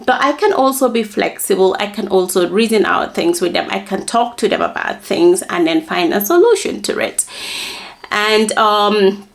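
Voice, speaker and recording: A woman's voice: mid-range voice, lively intonation, normal speed, gravelly. A woman in her thirties with a Gambian accent, talking casually and personally to the camera as a vlogger, with emphatic storytelling. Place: small plain indoor room.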